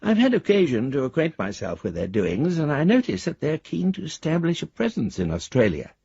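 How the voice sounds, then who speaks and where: Husky, swooping, urbane roguish gentleman. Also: a little high, huge pitch swings, brisk, no room sound, lo-fi sound, full character voice. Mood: breezy, scheming. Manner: urbane, florid, theatrical delivery. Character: roguish, affectionate, well-spoken father writing secret letters. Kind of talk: radio drama letter reading.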